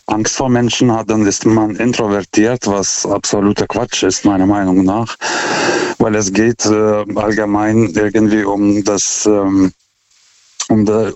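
A man's voice: on the low side, darkish tone, dry recording, muffled recording. A man calling in to a radio show in a language that is not his first, talking with many hesitations and restarts. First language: not German. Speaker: caller. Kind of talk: phone-in call.

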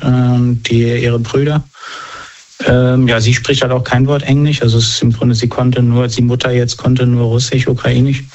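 Male guest, monotone and matter-of-fact, muffled lo-fi sound. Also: mid-range voice. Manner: matter-of-fact, pauses to search for words. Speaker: interview guest. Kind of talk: casual conversation. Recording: dull lo-fi recording.

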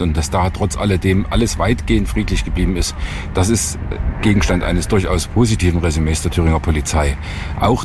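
Police spokesman, very low voice, formal, some hesitation, outdoor press clip with city noise. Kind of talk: statement to press. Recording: field recording with street noise.

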